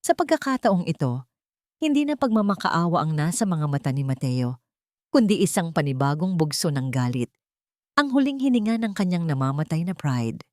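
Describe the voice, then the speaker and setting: A woman's voice: low-pitched, sing-song, normal speed, a little mellow, dry recording, studio quality. A woman storyteller reading scripted, dramatic narration for a romance audio drama.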